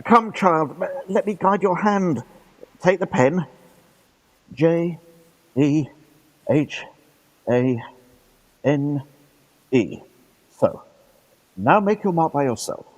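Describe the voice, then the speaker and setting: A man's voice: a little high, animated, extremely slow, long pauses, full character voice, poor audio. A man playing a devout, earnest medieval friar in a radio play, speaking formally and solemnly. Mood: pious relief. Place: actor's home, live remote recording.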